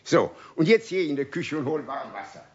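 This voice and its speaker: A man playing a kindly, indulgent older uncle with a husky voice, good-natured and mock-stern, in a children's radio play. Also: on the high side, lively intonation, moderate pace, lightly acted. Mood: amused, indulgent. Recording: dull old radio recording.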